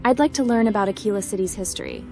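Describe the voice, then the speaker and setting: Casting: woman as inquisitive cyber-runner heroine, polite, noticeable vocal fry, mid pitch, game roleplay with music. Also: very fast, close to an everyday voice. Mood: curious. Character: curious young female hacker, spacefaring adventurer. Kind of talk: roleplay dialogue for game protagonist.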